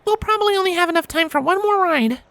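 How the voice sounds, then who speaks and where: A man's voice: extremely high pitch, expressive, fast talker, a bit bright, voice pushed up, heavily acted. A man voicing a cheerful, eager young female pony with a reassuring manner in a storybook reading.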